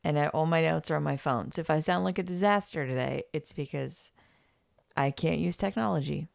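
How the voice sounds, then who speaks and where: A woman co-hosting a podcast in casual chat, with a gravelly voice and a drowsy, yawning manner. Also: low-pitched, fairly quick, no echo, clean studio sound. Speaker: podcast co-host. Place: United States.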